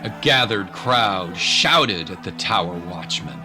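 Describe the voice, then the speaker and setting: A man narrating a scripted dramatic story in a measured, solemn manner. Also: low-pitched, lively intonation, loud music behind the voice.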